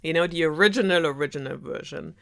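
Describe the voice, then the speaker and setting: Female storyteller, slightly low voice. Chatty, sarcastic, loose and rambling delivery, prone to giggles. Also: fast talker, gravelly, a bit bright. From Germany. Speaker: storyteller host of a web series. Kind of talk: casual sarcastic fairy tale retelling.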